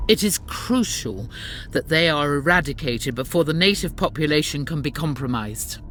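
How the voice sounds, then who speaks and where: A woman's voice: on the low side, a bit bright. A woman playing a zealous, stern warrior sister in a game, speaking in solemn, pious, formal lines with music behind her. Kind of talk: dramatic scripted game narration and dialogue.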